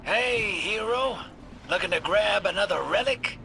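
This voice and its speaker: Slow-drawling, roguish treasure hunter. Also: male voice, high voice, gravelly, background noise. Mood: wry invitation. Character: laid-back roguish treasure-hunting male mercenary, wry and teasing. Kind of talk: video game mission dialogue.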